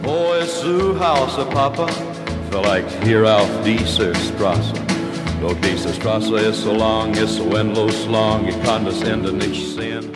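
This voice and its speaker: A man singing a slow country song, heard from an old recording. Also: fairly low pitch, roomy sound, in a large hall, over loud music.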